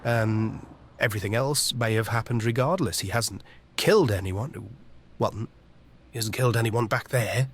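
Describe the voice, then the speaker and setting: An actor playing a casual, sceptical sidekick who talks in a halting, offhand way in an audio drama. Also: male voice, lowish voice, close to an everyday voice. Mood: thoughtful.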